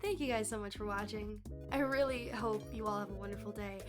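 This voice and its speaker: A woman's voice: medium pitch, a little fast, over music. A woman speaking as a channel host, addressing her viewers in an outro. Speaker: audio roleplay creator, channel host.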